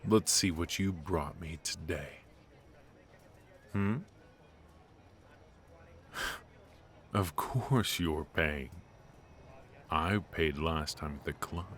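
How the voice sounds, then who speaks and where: A male actor plays a ruthless mafia boss with a very deep voice. He talks slowly and intimately, teasing and flirting with hums and long pauses in an ASMR roleplay. A faint noise sits behind the voice. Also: a bit bright, exaggerated voice. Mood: smooth, teasing. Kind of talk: ASMR roleplay acting, intimate one-sided conversation.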